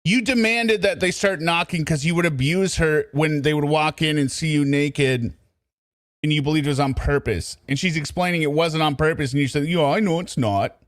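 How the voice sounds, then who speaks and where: A man's voice: fairly high pitch, moderate pace, dry recording, clean studio sound. A man hosting true crime commentary, sarcastic and talking back at the recording he plays. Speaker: commentary host.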